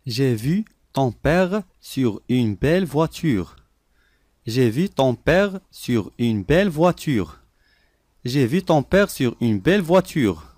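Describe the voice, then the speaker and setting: Male lesson reader: deliberate, clear, repeats each phrase, animated intonation. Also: medium pitch, no echo, studio quality. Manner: clear, deliberate, each sentence said three times. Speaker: language lesson voice. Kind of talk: slow repeated phrase reading for learners.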